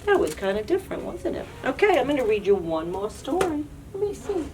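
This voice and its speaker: A woman reading picture books aloud to young children, with an animated, playful, questioning delivery. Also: sits high, fairly quick. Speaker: children's librarian and storyteller. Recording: distant mic, audible background noise.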